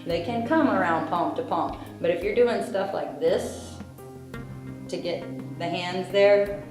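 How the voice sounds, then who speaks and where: A woman's voice: lowish voice, slow pace. Lady yoga teacher, chatty and jokey between cues, lots of room echo, music in the back. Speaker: yoga instructor. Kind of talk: guided yoga class instruction. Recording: echoey room with background music.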